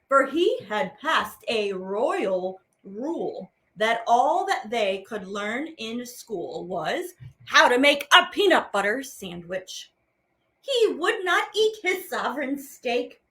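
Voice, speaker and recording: A high-voiced woman reads children's verse aloud as a teaching artist. Her delivery is theatrical and playful, swooping widely in pitch. She takes it slowly, stretching out words for effect. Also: fairly bright tone. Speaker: teaching artist and program host. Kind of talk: expressive read-aloud of children's poems.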